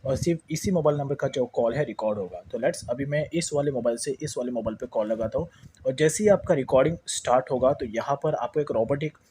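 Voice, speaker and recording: A man's voice: medium pitch, fast talker, warm tone, slight background noise. A man with an Indian accent giving a casual step-by-step phone tutorial, explaining repetitively and mixing in English words. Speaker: tech tutorial host.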